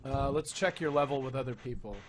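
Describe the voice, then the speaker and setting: A man's voice: mid-range voice, a little fast. A man co-hosting a casual podcast, wisecracking and teasing the others. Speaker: podcast co-host.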